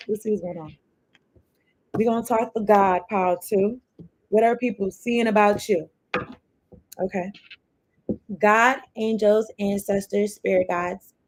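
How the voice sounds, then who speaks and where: A woman's voice: mid-range voice, rough recording. A woman doing a casual tarot reading, chatty and rambling as she free-associates between cards and thoughts, swearing now and then. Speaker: tarot card reader.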